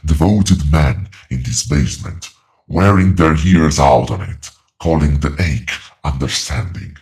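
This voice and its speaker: An ancient being from beyond speaks in a cavernously low register with heavy vocal fry. It delivers ominous horror-drama pronouncements slowly and deliberately, solemn, relentless and coldly knowing. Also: male voice, darkish tone, heavily acted. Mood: ominous, instructive. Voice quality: very deep, gravelly creaking voice. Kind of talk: horror audio drama monologue.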